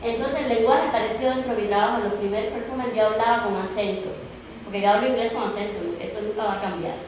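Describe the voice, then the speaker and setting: A woman's voice: a little high, steady tone, fast, recorded from a distance. Woman, Cuban accent, earnest panel speaker, very echoey hall, background noise. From Cuba. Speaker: panelist artist. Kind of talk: reflective panel discussion. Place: exhibition space, indoors.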